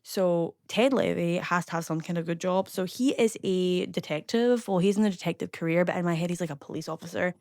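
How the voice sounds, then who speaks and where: A young Scottish woman chatting casually as a voice-over, rambling with lots of fillers and quick asides. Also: lowish voice, darkish tone, no room sound, clean studio sound. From Scotland. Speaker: YouTuber narrating a game build.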